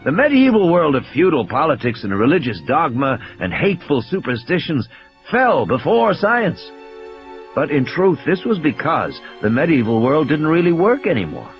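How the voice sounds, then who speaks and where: An intense, ailing male scientist, acted by a man reading an audiobook lectures grimly over music in slightly muffled audio. His delivery is emphatic and rhetorical, with a very animated melody. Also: a little high, moderate pace. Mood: dismissive, grave. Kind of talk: audiobook character dialogue. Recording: dull lo-fi audio, music behind.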